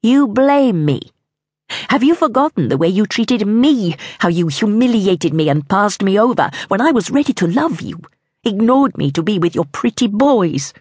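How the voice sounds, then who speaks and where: A woman's voice: mid-range voice, very animated, moderate pace, a little mellow, husky, no echo, studio quality, voice pushed up. A woman reading the part of a murdered king's ghost, bitter and accusing. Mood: resentful.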